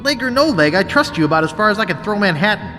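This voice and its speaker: An actor playing a wimpy, wisecracking young male pirate adventurer in a video game, wry, earnest and excitable. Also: high voice, fast talker, music in the background. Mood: sceptical. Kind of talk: video game character acting. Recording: long cavernous reverb.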